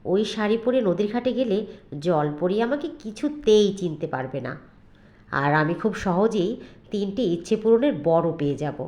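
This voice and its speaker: A woman's voice: mid-range voice, animated, normal speed, mellow, close to an everyday voice. A woman voicing a lazy, spiteful young sister-in-law in a cartoon fairy tale, whining and scheming. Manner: whiny complaining and gleeful scheming.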